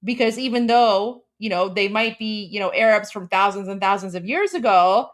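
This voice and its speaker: A woman's voice: mid-range voice, normal speed. A woman from Iran co-hosting a live stream, giving heated, opinionated commentary and talking straight to her viewers. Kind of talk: casual livestream commentary.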